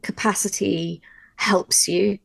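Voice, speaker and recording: A woman speaks in a chatty, encouraging way, as a writer sharing advice in a workshop. Her mid-pitched voice has a gravelly creak to it. The sound is dry, with very little room. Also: fairly even intonation, slow, a bit bright, no echo. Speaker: audio drama writer giving a workshop. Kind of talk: conversational workshop discussion.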